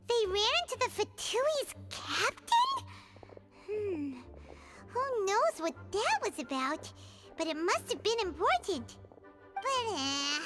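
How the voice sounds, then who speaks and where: A woman voicing a tiny, excitable, greedy fairy girl companion in a video game, with a squeaky, childlike manner. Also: heavily acted. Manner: childlike, squeaky, refers to self by name.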